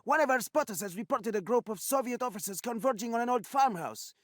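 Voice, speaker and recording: An actor playing a young resistance fighter who shouts urgent reports. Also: male voice, extremely high pitch, lively intonation, fast, no echo, clean studio sound. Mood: alarmed.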